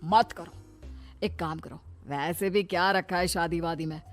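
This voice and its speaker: A woman's voice: fairly low pitch, unhurried, voice pushed down, lightly acted. A woman voicing an earnest, plain-spoken young married son in a cartoon moral story. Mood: casually provoking. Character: young married son, puzzled and conspiring with father. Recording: music bed behind voice.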